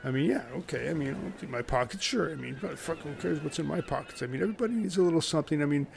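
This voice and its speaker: A man's voice: fairly high pitch, animated, rapid-fire, gravelly, a little hiss or hum. A man playing a rambling, defensive castaway in a comic radio play, prone to over-explaining.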